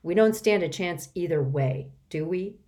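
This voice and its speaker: A woman's voice: on the low side, a little slow, mellow, close to an everyday voice. A woman reading a blunt, impatient girl. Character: bold, impatient, energetic girl. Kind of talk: audiobook character voice.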